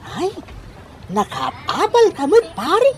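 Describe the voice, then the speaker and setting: A woman's voice: extremely high pitch, very animated, slow, very noisy, exaggerated voice. A woman playing an old man's teasing male buddy in a radio comedy drama, with an exaggerated sing-song delivery. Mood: teasing, amused.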